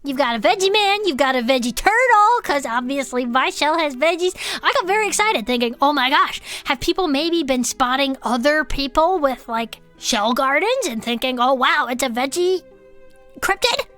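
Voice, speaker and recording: A woman playing an excitable, earnest turtle-folk gardener with buried rage, chatting as co-host of a comedy fiction podcast. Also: high-pitched, normal speed, soft background music. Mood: excited, chatty. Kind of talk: comedic character banter, partly improvised.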